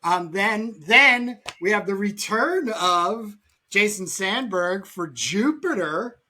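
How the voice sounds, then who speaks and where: A man hosting a podcast about comics in casual talk, pausing mid-sentence as he goes. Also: sits high, animated, pushed and bright. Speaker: podcast host and comic artist.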